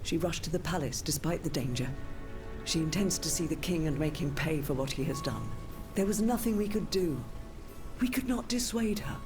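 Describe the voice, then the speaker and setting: A woman's voice: low-pitched, lively intonation, normal speed. A woman playing an anxious, loyal lady of the French court in a video game cutscene, earnest and formal. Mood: worried, solemn. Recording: music behind the voice.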